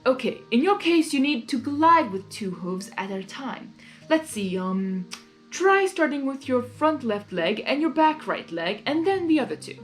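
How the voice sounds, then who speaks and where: A woman acts out a playful male chaos creature from a cartoon fan story, loud and theatrical. The pitch is high and swings widely, with faint music behind. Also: big pitch swings, a little slow. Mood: encouraging. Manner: theatrical, teasing, emphatic.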